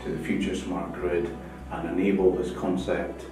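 A man's voice: sits low, completely flat delivery, normal speed. A middle-aged man, a professor and project coordinator, explaining his project measuredly to camera in an office room. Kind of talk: interview to camera. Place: indoor office room by a banner. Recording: roomy distant sound with music behind.

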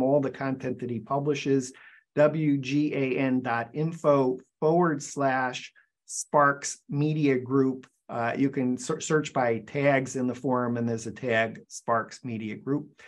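An older man hosting a video call interview, talking in halting stops and starts with many fillers. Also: medium pitch. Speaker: show host and forum founder. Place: indoors, virtual backdrop. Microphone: webcam on video call.

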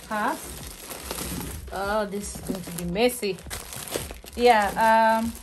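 A woman chatting casually while she unpacks groceries in her small home kitchen. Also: on the high side. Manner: chatty, relaxed, restarts and fillers, soft laughs. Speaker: vlogger. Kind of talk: casual shop-with-me haul chat. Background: background music.

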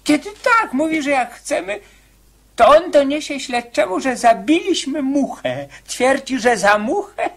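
A performer playing an anxious, deferential male convict in a radio drama, pleading and fretful, with a husky voice. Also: high-pitched, lively intonation, measured pace, exaggerated voice. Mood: eager, puzzled.

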